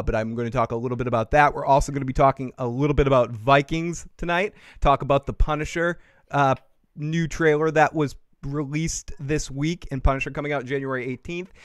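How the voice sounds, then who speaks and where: A man with a Boston accent hosting a fan talk show, casual and profane, rambling on excitably and emphatically. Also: medium pitch, a little fast, clean tone, no room sound, clean studio sound. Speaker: host of a TV fan call-in show.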